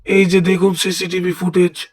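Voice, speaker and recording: A man voicing a helpful townsman in a video game, explaining things plainly in a husky voice. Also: high-pitched, level tone, normal speed. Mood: plain, informative. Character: helpful game townsman giving directions to police.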